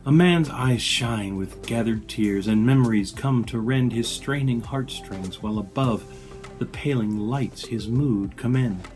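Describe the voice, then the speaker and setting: A man giving a dramatic poetry reading with measured, expressive phrasing. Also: medium pitch. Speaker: poetry reader.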